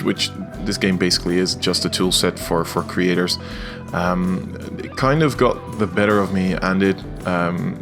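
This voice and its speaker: A young Dutch man with a Dutch accent, talking casually over his gameplay video, hesitant and full of filler sounds. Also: deep voice. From Netherlands. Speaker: video game creator. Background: faint guitar music.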